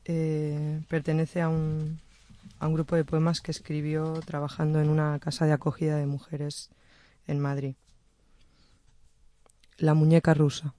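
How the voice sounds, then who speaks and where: A speaker reciting a poem in a measured, soft-spoken way. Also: mid-range voice, no echo. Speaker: poetry reader. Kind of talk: slow poetry recitation.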